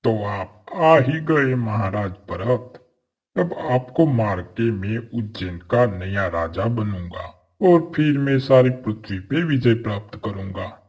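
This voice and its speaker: A man playing a power-hungry evil sorcerer in an animated fairy tale, menacing and boastful. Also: fairly low pitch, slightly rough, heavily acted. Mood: gloating menace. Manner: menacing, boastful, drawn-out delivery.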